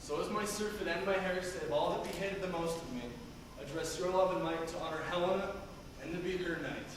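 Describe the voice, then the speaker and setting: An actor plays a lovestruck young suitor who declaims his verse in a fairly high voice. He is heard from far back in a long-echoing hall, with clear background noise. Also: fairly quick, natural voice. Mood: ardent. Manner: ardent, declamatory verse speaking. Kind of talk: stage acting of verse. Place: theatre stage.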